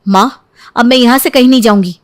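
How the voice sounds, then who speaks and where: A woman's voice: on the high side, fairly quick, pitched above the performer's own voice, lightly acted. A woman voicing a hurt, stubborn young wife in a story, resolute and aggrieved. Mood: hurt, defiant.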